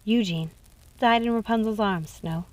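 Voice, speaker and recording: A woman voicing a grave, weary young witch in a storybook, gentle but resolute, with measured, somber delivery. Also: mid-range voice, natural voice.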